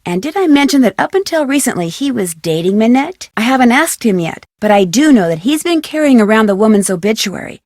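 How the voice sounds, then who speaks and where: A woman voicing a curious, friendly young female detective in a video game, speaking conversationally and clearly as she talks through a case. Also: a little high, very animated, brisk, hardly any pauses, darkish tone, no echo, clean studio sound. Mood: informative, intent.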